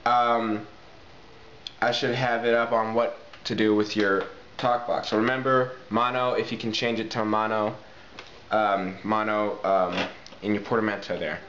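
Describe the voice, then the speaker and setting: A man's voice: lowish voice, flat delivery, unhurried, fairly bright tone. Man giving casual tutorial, muffled echoey sound. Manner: informal, addresses viewers as guys and people, repeats points for emphasis. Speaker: tutorial presenter, talk box player. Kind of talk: casual hands-on how-to video. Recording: muffled, echoey, noisy amateur video sound.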